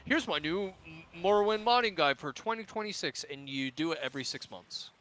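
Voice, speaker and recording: Bright-voiced man, laid-back and affirming. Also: on the high side, expressive, brisk, rough recording. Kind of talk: casual chat.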